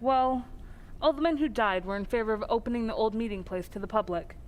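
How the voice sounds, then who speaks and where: A woman playing a calm, reassuring, matter-of-fact small-town sheriff in an old-time radio drama. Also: fairly high pitch, fairly quick, slight background noise, close to an everyday voice, rough recording.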